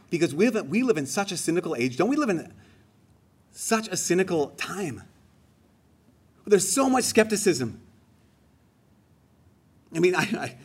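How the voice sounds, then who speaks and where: A man's voice: fairly high pitch, husky. A man giving a homily in a conversational, emphatic way, rising on key phrases. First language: English. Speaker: preacher.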